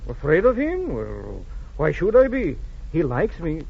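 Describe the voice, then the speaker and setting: An actor playing an easygoing, timid Californio ranchero husband, hesitant and excitable, in 1940s radio style. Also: male voice, on the high side, unhurried, vocal fry, slightly rough, full character voice. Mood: sheepish, defensive. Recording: muffled vintage radio recording.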